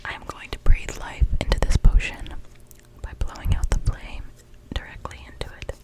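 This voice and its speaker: A young woman whispering gently and without hurry in an ASMR witch roleplay, speaking directly to the listener. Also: normal speed, low-quality recording. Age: young adult. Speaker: ASMR performer.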